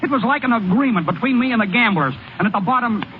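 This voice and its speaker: A naive country ballplayer with a high American voice that swings up and down. He talks in folksy, halting, ungrammatical phrases. It sounds like a thin, noisy old radio drama. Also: expressive, phone-quality sound. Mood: distraught. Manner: folksy, ungrammatical, hesitant stammering speech. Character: naive, boastful-turned-dejected hayseed male baseball pitcher. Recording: thin, noisy vintage radio broadcast recording.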